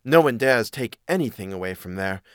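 Man doing a sly, lively storybook tomcat. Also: fairly low pitch, fairly quick, smooth, no room sound, clean studio sound, natural voice. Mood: cautioning, persuasive. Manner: persuasive, cool, self-assured. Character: sly, greedy, smooth-talking male cat. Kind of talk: fairy tale storytelling.

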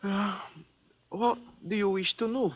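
A man's voice: high voice, steady tone, unhurried, breathy edge, heavily acted. A man acting a sleepy, dreamy rooming-house keeper in a 1950s radio drama. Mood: languid. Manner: sleepy, languid. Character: drowsy, dreamy male rooming-house keeper, philosophical and sluggish. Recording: dull vintage radio recording.

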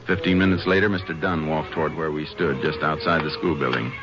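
An American man acting a calm, methodical Texas Ranger in a 1950s radio drama, speaking in a measured, understated way. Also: extremely low pitch. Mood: matter-of-fact narration. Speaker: lead actor and narrator. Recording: noisy, muffled old radio broadcast recording.

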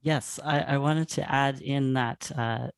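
A man's voice: medium pitch, fairly even intonation, takes it slowly, gravelly, smooth, no room sound, studio quality. A man from Canada speaking as a panel guest, earnest and thoughtful, with frequent fillers. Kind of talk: casual panel discussion.

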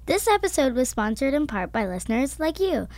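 A woman's voice: high voice, big pitch swings, fairly quick, darkish tone, no echo. A woman announcer reading a scripted promotional sponsor message.

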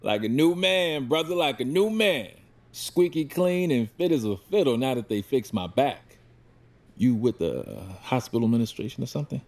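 A man's voice: mid-range voice, sing-song, moderate pace, darkish tone. Man acting a wildly swooping, folksy working-class guy. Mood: breezy. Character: recovering working-class male patient, folksy, suspicious, hot-tempered. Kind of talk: audio drama acting.

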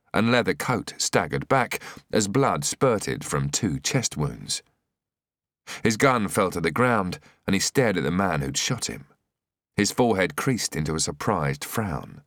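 A man's voice: sits low, very animated, unhurried, fairly bright tone, no room sound, clean studio sound. A man narrating a scripted thriller audiobook in a dramatic storytelling style.

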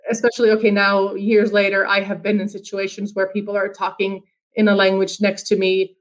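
A woman's voice: medium pitch, completely flat delivery, fairly quick, poor audio. A woman from Finland with an American accent, whose first language is Finnish, talking as a guest in a casual conversation and rambling with frequent restarts and fillers.

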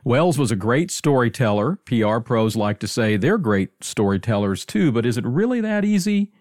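A man's voice: mid-range voice, sing-song, normal speed, darkish tone, dry recording, clean studio sound. A man hosting a podcast in a conversational presenting style. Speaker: podcast host.

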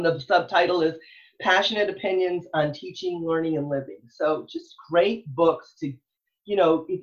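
A woman's voice: low-pitched, dull audio. A middle-aged American woman talking enthusiastically in an interview, earnest and emphatic, often repeating phrases as she goes. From Texas, United States. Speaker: elementary school librarian, interview guest.

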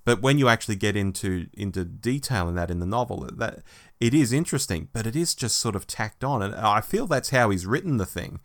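A man's voice: fairly low pitch, lively intonation, brisk, fairly bright tone. A man co-hosting a podcast, reviewing a book in a casual, wry, conversational way. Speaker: podcast co-host.

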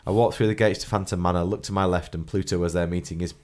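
A man's voice: sits low, fairly even intonation, fast, a little mellow. A man hosting a podcast with a blocked nose from a cold, reading a listener's trip report aloud and chuckling often. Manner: chuckles often, clears throat. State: congested, stuffy nose from a cold. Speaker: podcast host.

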